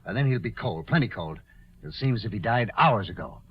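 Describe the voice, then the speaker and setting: A man's voice: lowish voice, lively intonation, fairly quick, slightly rough, soft background music. A man playing a cold, scheming gambler with clipped, calculating delivery in a 1940s radio drama. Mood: brisk, calculating. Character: cold, scheming male gambler plotting a murder cover-up. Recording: dull vintage 1940s radio recording.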